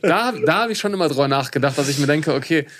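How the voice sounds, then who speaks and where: A man in his thirties hosting a podcast, talking through his thoughts casually and pausing on fillers. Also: a little high, expressive, fairly bright tone. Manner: reflective, hesitant, with many fillers. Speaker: podcast host. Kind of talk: casual chat.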